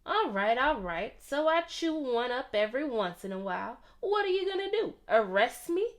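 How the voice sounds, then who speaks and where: Woman as a sassy, high-voiced talking dog. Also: sing-song, normal speed. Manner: sassy, unapologetic. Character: sassy family dog telling the story.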